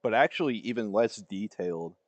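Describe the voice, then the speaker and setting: A man's voice: fairly low pitch, animated, fairly quick, no room sound, highs cut off. A man giving casual, off-the-cuff reaction commentary as a livestreamer. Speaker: livestreamer reacting to fan-made videos.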